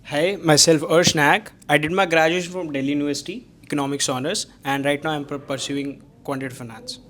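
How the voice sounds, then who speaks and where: A man's voice: fairly high pitch, steady tone, fairly quick, a bit bright, slight background noise. A young man in his twenties, a student introducing himself and explaining his course plainly. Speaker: student guest.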